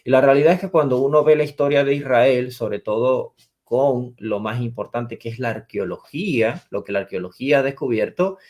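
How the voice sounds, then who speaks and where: Man, 20s, from Venezuela, theology teacher, measured lecturing tone, defines each term in turn. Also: medium pitch, darkish tone. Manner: lecturing, defines terms step by step. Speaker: theology teacher, podcast guest. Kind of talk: explanatory interview answers.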